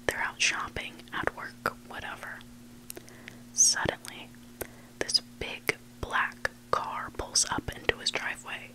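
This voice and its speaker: A person reading stories for ASMR in a breathy, unvoiced whisper, hushed and deliberate, in short phrases. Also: rough recording. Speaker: ASMR reader of online stories.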